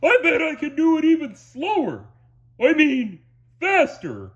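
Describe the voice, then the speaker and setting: A man voicing a dim, eager, good-natured cartoon starfish, loud and simple-minded, with a husky voice. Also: very high-pitched, wildly swooping pitch, measured pace, highs cut off, voice pushed up, heavily acted. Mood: boastful.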